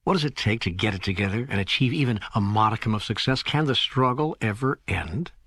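A man playing a searching, frustrated ordinary seeker in an audiobook dialogue, asking in an earnest, plaintive way with a husky voice. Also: lowish voice, lively intonation, fairly quick, a bit bright, no echo, studio quality, exaggerated voice. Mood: frustrated, pleading. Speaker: audiobook narrator. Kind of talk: scripted audiobook dialogue reading.

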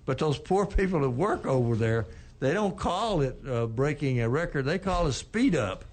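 An older man co-hosting a radio talk show, giving labor news in a plainspoken, unhurried way. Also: a little high, lively intonation, darkish tone. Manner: plainspoken, unhurried, with frequent restarts. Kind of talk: casual labor news chat.

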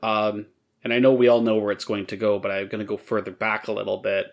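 A man's voice: lowish voice, level tone, fast. A man of thirty co-hosting a casual podcast, talking in a candid, conversational way. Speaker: podcast co-host.

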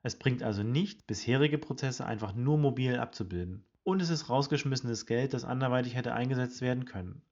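A man's voice: mid-range voice, darkish tone, lo-fi sound. Man presenting a scripted HR roundup. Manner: brisk, informative, addresses listeners directly. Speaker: podcast host presenting HR study results. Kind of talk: scripted weekly news roundup.

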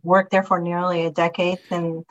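Earnest woman from Somalia, flat delivery. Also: lowish voice, dull audio. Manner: earnest, halting, many ums and uhs. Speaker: guest, county commissioner. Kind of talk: interview storytelling.

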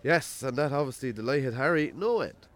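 An Irish farmer played by a man spins his yarns in a radio drama. His pitch sits in the middle but swings widely as he talks. He is wry, colloquial and modest about himself. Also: animated, moderate pace, natural voice. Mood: wry, suspenseful. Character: Jamesy, rural bachelor farmer, self-deprecating storyteller on a date. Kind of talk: naturalistic radio drama acting.

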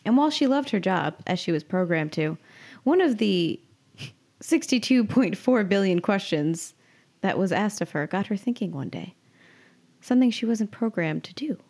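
A woman's voice: medium pitch, lively intonation, normal speed, darkish tone. A woman in her twenties co-hosting a podcast, giggly and self-mocking as she chats and reads her own comic story. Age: 20s. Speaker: podcast co-host and writer. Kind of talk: casual banter and reading own comic story aloud.

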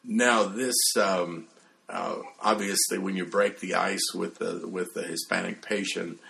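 A male interviewer speaks in a very low voice with heavy creak. He puts his questions conversationally, feeling his way through them with halting phrasing. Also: fairly even intonation, bright, forceful tone.

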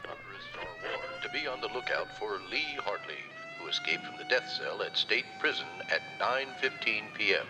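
An actor playing a grave radio newscaster reading an urgent, formal police bulletin in an old-time drama. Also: male voice, mid-range voice, wildly swooping pitch, normal speed, hardly any pauses, music in the background. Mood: stern warning.

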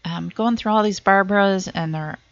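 A woman's voice: mid-range voice, normal speed, dry recording, muffled recording. A woman answering quiz questions casually, tentative and questioning as she guesses. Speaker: trivia contestant.

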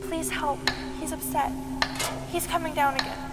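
A woman's voice: high voice, fairly even intonation, moderate pace, close to an everyday voice. A woman playing a frightened, helpless captive girl in an audio drama, pleading fearfully on an echoing, noisy recording. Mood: terrified, pleading.

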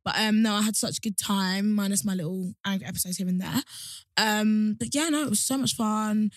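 A woman in her twenties with a hoarse throat, co-hosting a studio podcast, blunt and slangy with a lot of swearing. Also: medium pitch, fairly quick, dry recording. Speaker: podcast co-host. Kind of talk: casual chat. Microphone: studio mic.

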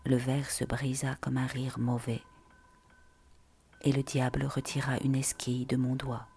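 A narrator reads poetic prose in a hushed, deliberate, breathy voice that often drops to a near whisper. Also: medium pitch, monotone. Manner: slow, hushed, deliberate delivery. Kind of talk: literary reading of poetic prose.

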